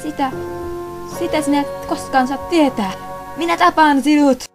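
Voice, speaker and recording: Woman playing young male anime pup: brave, vengeful, distraught, high-pitched cries, music underneath. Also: steady tone. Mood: grieving fury. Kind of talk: fan-dubbed anime acting.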